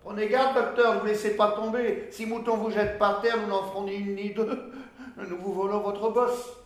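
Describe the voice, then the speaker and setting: A man voicing cheeky, bawdy village washerwomen in a dramatic novel reading, loud, teasing and mocking. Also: on the high side, steady tone, normal speed, natural voice. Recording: very echoey room with faint noise.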